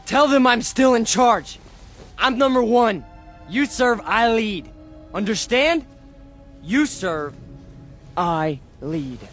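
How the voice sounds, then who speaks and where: Young fanatic agitator, boastful and unstable, slowish drawn-out lines, radio drama w music behind. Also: male voice, extremely high pitch, expressive, a bit bright, exaggerated voice. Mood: fanatical boasting. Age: young adult. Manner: intense, stammering, repeats himself.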